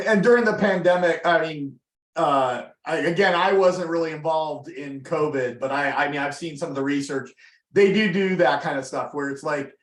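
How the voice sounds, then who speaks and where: Animated man in an echoey room. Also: a little high. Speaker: seminar presenter, disease researcher. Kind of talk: academic discussion. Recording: roomy echo.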